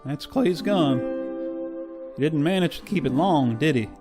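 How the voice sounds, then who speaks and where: Man acting an eager deputy, swooping pitch. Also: lively intonation, measured pace, over music. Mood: deferential. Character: eager, deferential male deputy to a frontier marshal. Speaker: actor in an amateur radio drama. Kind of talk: Western radio drama acting.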